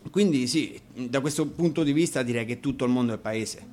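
A man's voice: medium pitch, a little fast, darkish tone. A man, casually introducing songs in a discursive, wry, explanatory way during a live radio session. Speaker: singer introducing songs as a guest.

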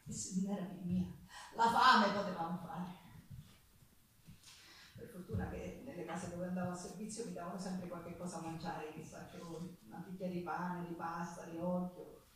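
A woman's voice: on the low side, little pitch movement, normal speed, fairly bright tone, slight background noise, lightly acted. An actress playing a poor, embattled Sicilian wife and mother in a dramatic stage monologue, with a Sicilian accent and a raw, emphatic storytelling manner. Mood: grim, weary. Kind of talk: dramatic theatre monologue with folk singing. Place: theatre stage, live performance. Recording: echoey stage, distant mic, guitar behind. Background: live acoustic guitar.

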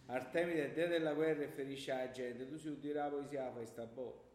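A man's voice: medium pitch, steady tone, normal speed, a little mellow, lightly acted. A man as a brash southern Italian pupil, echoey. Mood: chatty, boastful. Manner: colloquial, rambling, pushy. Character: brash, slangy male pupil playing Dionysus, shamelessly flirty. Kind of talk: comic dialect stage acting. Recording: echoey room, faint background noise.

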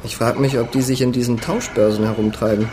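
Actor playing curious casual guy chatting in a radio play, normal pitch, noisy surroundings. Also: fairly even intonation, a little fast, close to an everyday voice. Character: curious, casual male computer user chatting. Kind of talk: casual radio drama dialogue. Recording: noisy ambience around the voice.

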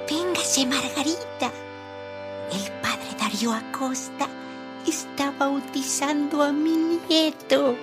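A woman playing an excitable, easily frightened townswoman in a radio drama. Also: sits high, slow, over music. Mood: joyful, eager.

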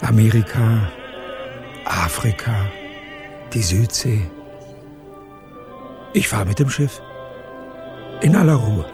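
An old dreamer in a radio play. He is easygoing and musing, very slow and low, with clear music behind him. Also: lively intonation. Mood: dreamy, content. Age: older. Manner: leisurely, musing.

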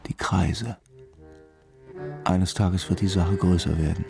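An actor playing a brooding, fatalistic police detective, confiding ominously in a radio crime drama. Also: male voice, sits low, steady tone, slow, vocal fry, over music, exaggerated voice. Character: brooding, fatalistic male police detective haunted by lost love.

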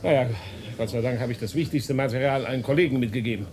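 A man's voice: mid-range voice, quick pace, a little mellow, natural voice. An actor playing an agitated, suspicious investigative journalist in a German radio drama, insistent and distrustful in his questioning. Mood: agitated, accusing.

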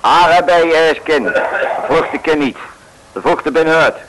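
Brief: gruff stubborn innkeeper, male actor, slangy and short, noisy vintage radio. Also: a little high, unhurried, lightly acted. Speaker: bar owner. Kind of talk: 1950s radio play acting. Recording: noisy old radio broadcast.

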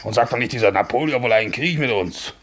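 A man's voice: medium pitch, expressive, fast, fairly bright tone. An actor playing a domineering, grumbling Russian nobleman officer in a theatrical 1950s German radio drama. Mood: blustering, musing. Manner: theatrical, blustering, musing. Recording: noisy 1950s radio recording.

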